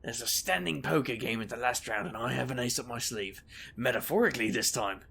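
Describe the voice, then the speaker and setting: Actor as a gravelly, wisecracking investigator. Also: male voice, mid-range voice, brisk, fairly bright tone. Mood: wry narrating. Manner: wisecracking, casual, sardonic. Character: wry, reckless male paranormal investigator telling his own tale. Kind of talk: audio drama acting with noir-like first-person narration.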